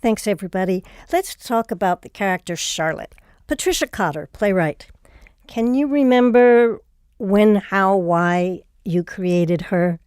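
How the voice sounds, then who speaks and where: A woman's voice: medium pitch, animated, a little slow, darkish tone, smooth, no room sound, studio quality. A woman hosting an audio theatre show, reading scripted credits and putting interview questions. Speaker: host.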